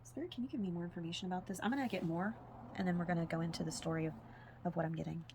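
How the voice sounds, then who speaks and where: A woman with a husky, hoarse voice doing a spiritual tarot reading as a casual monologue, speaking softly and sometimes close to a whisper. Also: fairly low pitch, racing, a little hiss or hum. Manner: soft-spoken, drifts into whispers at times. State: hoarse, losing her voice. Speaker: tarot reader.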